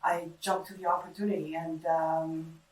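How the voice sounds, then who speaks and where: A woman's voice: low voice, little pitch movement, moderate pace, darkish tone. Middle-aged woman, roomy distant sound. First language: Greek. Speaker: data center engineer being interviewed. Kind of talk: short interview answers. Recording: roomy, distant mic, background noise.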